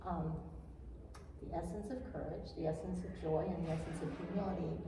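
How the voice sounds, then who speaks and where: An older woman with a soft voice, answering casually as the author guest in an interview. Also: low-pitched, fairly even intonation, a little fast. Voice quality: soft-spoken. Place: echoey room at a writer's retreat, background noise. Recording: echoey distant room mic with faint noise.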